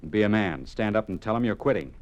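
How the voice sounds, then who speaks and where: A man's voice: on the low side, animated, quick pace, lo-fi sound, close to an everyday voice. An actor playing a stern, worried father in a 1950s radio drama, grave, earnest and insistent. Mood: firm, resolute. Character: stern, worried father urging his son to courage.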